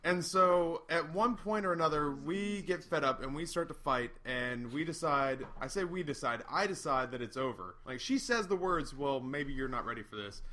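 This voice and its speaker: A man's voice: on the high side, normal speed, hardly any pauses, pushed and bright. A tipsy man in his thirties hosting, telling a story to friends at a house by a fire, rambling and repeating himself, recorded on a laptop mic. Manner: rambling, repeats words, laughs often. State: tipsy from drinking. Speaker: host and storyteller. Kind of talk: casual storytelling among friends. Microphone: laptop microphone.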